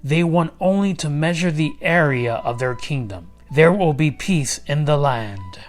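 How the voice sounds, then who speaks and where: A man voicing a noble, kindly king from a storybook, proclaiming in a deliberate, stately manner. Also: on the high side, expressive, over loud music. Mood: proud, announcing.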